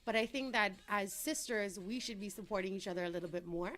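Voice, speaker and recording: A young woman, born in Trinidad, chats brightly as a guest on an interview show. She talks at length in an upbeat, sociable way, with a voice of middling pitch and quick laughs between answers. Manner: upbeat, talkative, laughs easily. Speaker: entrepreneur guest. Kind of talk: casual interview chat.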